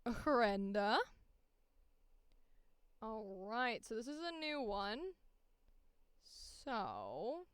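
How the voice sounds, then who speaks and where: Female streamer, high clear voice, playful and informal, talking herself through tasks. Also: very animated, drawn-out words, long pauses, no echo. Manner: playful, thinking aloud. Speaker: voice actor recording auditions. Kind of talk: casual live-stream chat while working.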